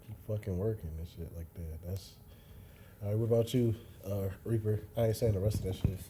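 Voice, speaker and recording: A man hosting a podcast, chatting in a slangy, joking way with quick reactions. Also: on the low side, fairly even intonation, mellow, slight background noise. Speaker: podcast host. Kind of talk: casual chat.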